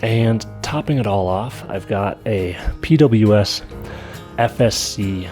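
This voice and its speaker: Low-voiced male vlogger with a cold, casual and technical, music bed behind. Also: slow pace, a little mellow. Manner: detailed, matter-of-fact technical talk. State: coming down with a cold. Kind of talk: casual gear explanation. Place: at home.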